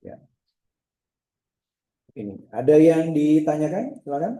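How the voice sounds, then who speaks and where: Male lecturer, very dark soft-edged tone, slight cough, repetitive step-by-step explaining, roomy echo. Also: a little high, very animated, a little slow. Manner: repetitive, checking with frequent short tags. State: bit of a cough, clearing throat. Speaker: lecturer teaching a software diagram class. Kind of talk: informal step-by-step screen demonstration lecture. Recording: echoey room sound.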